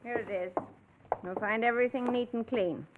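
Vintage radio drama landlady played by a woman: rather high voice, cold, grudging, terse, tinny old recording. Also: normal speed, noisy background, lightly acted. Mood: cool, businesslike. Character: curt, unfriendly farmhouse landlady, suspicious and grudging. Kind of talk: 1940s radio acting.

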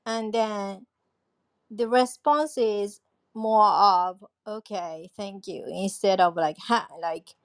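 A woman's voice: medium pitch, steady tone, takes it slowly, no room sound. A woman sharing advice in a casual chat. She searches for her words and uses fillers along the way. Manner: searching, hesitant phrasing with fillers.

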